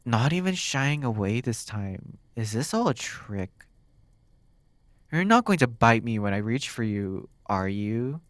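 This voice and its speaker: A man's voice: mid-range voice, sing-song, no room sound. Teasing demon captor in intimate, dry ASMR, acted by a man. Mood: wary, resigned. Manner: teasing, drawn-out phrasing with musing pauses. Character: flirty, teasing male demon captor, smug then exasperated. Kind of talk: ASMR roleplay monologue.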